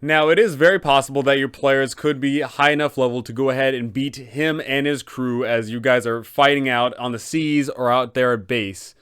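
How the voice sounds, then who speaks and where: A man's voice: mid-range voice, steady tone, moderate pace, hardly any pauses, a bit bright, smooth. A man hosting an explainer for game masters, giving guiding commentary on role-playing. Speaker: host, tabletop game guide.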